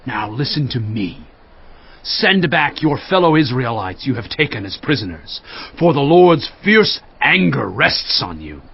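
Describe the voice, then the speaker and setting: A man playing a stern, indignant prophet, declaiming forcefully with a husky, gravelly voice in a dramatized scripture audiobook. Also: mid-range voice, very animated, measured pace, muffled recording, heavily acted. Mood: stern warning. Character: stern, indignant male prophet rebuking a victorious army.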